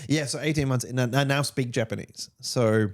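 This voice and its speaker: An Australian man chatting casually as a podcast guest, telling anecdotes in a relaxed conversational way. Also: mid-range voice, a little fast.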